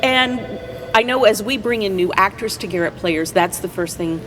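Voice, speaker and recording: A woman's voice: mid-range voice, normal speed, a bit bright, faint music behind, rough recording. An American woman speaking conversationally as a guest in a casual interview. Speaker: interview guest, play director. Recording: faint background noise.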